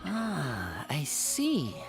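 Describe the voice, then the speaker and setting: A woman's voice: sits low, huge pitch swings, extremely slow, vocal fry, over music, exaggerated voice. A woman playing a cool, formal, thoughtful investigator in a video game. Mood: thoughtful, approving.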